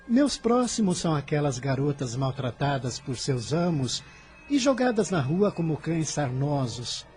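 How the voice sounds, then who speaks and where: An earnest fifteen-year-old boy with a Brazilian accent, devout and serene. His voice is mellow and lightly high, swinging expressively through formal, measured lines of radio drama.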